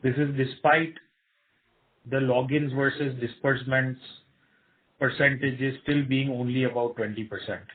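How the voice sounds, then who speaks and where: A man with an Indian accent, a company executive reading out a financial report in a formal, measured manner. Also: mid-range voice, steady tone. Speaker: company executive presenting results. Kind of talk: scripted financial report on an earnings call. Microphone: telephone conference line. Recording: tinny narrow phone line.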